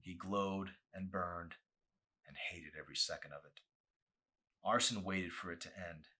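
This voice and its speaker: A man's voice: low-pitched, fairly even intonation, a little slow, bright, forceful tone, husky. A man reading a novel aloud as a dramatic audiobook, with an intense, theatrical delivery.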